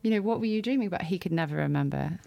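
A woman's voice: lowish voice, expressive, rapid-fire, creaky, mellow, no echo. A woman on a podcast panel, telling personal stories in a casual way.

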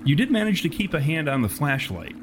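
A man narrating a tabletop horror game as game master, in descriptive, deliberate, self-correcting storytelling. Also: fairly high pitch. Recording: steady background noise.

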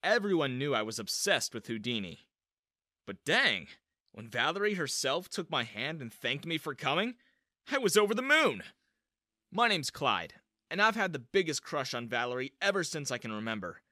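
A man playing an awkward, smitten high school boy, eagerly narrating his own story in a scripted first-person style. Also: normal speed, no room sound, studio quality. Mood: bashful, excited. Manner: eager, animated.

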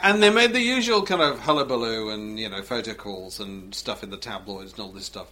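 A man's voice: fairly low pitch, lively intonation, brisk, sharp tone. A man hosting a podcast, talking in a wry, jokey way. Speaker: podcast host. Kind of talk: casual chat.

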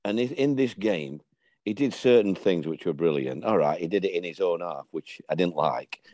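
An older man tells stories in a low, mellow voice, slipping into the voices of the people in them. The sound is dry and close, with almost no room around it. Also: normal speed, no room sound. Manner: animated storyteller, acts out dialogue. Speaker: former football manager telling stories. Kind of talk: casual anecdotal chat.

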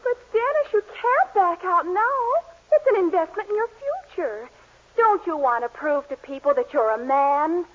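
Very high-pitched girlish sweetheart. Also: animated, moderate pace. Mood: eager, coaxing. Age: young adult. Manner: earnest, coaxing. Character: eager, pushy young sweetheart, earnest and girlish. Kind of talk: 1940s radio sitcom acting. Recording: muffled old 1940s radio recording.

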